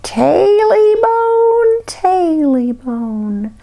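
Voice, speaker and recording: A woman voicing a ghostly creature with a slow, wailing chant. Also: very high voice, big pitch swings, warm tone, voice pushed up, exaggerated voice. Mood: eerie wailing. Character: moaning ghostly creature hunting its lost tailbone.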